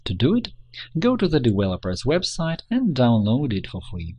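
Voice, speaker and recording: A man reading a scripted instructional narration in a measured, step-by-step manner. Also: medium pitch, huge pitch swings, a little mellow, breathy edge. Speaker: tutorial narrator.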